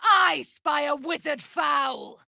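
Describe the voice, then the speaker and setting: An actress playing a proud, wrathful immortal warrior paladin in a fantasy video game, declaiming in grand archaic, formal language. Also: female voice, high-pitched, slow, vocal fry, pushed and bright, no room sound, studio quality, heavily acted. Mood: furious, defiant.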